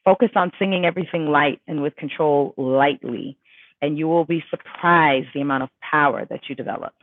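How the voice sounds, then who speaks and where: A woman's voice: deep voice, fairly quick. A woman vocal coach in a casual livestream question-and-answer session, reading viewers' questions aloud and answering in a chatty, emphatic way with many fillers. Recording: tinny dry phone-like sound.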